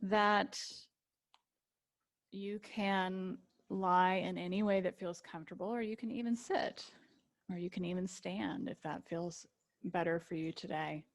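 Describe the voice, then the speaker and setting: A woman teaching yoga nidra, guiding a relaxation meditation with gentle invitations and long pauses. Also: on the low side, fairly even intonation.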